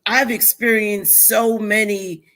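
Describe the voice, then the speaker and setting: Female guest, New York accent, plainspoken and steady. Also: medium pitch, takes it slowly. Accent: New York with a bit of South Jersey. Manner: matter-of-fact, steady. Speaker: guest author and coach. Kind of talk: interview conversation.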